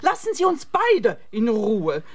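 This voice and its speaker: A woman's voice: a little high, huge pitch swings, takes it slowly, breathy edge, exaggerated voice. An actress playing a bitter, imperious older mother in a radio play, dramatic and plaintive. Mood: indignant.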